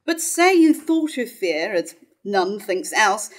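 A woman's voice: medium pitch, very animated, slow. A woman playing a haughty, scornful noblewoman in a formal reading of a verse drama. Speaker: actor in a staged reading. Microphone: stand mic at lectern.

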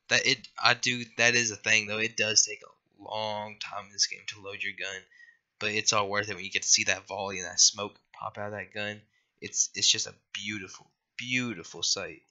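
Scratchy-voiced young man with a bright edge. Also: lowish voice. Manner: rambling, apologetic, lots of fillers. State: scratchy voice after being sick. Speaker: gaming youtuber. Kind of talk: casual gameplay commentary.

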